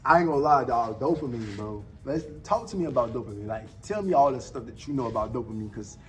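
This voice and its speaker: A man's voice: medium pitch, animated, fast, poor audio. A man in his twenties hosting a podcast in casual chat, laid-back and full of slang like "bro" and "dog.". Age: 20s. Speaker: podcast host.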